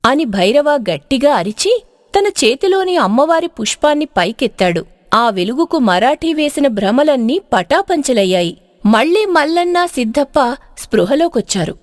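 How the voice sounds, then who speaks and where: A woman's voice: high-pitched, very animated, normal speed, no room sound, clean studio sound. A woman narrating a storybook tale in a dramatic, scripted style.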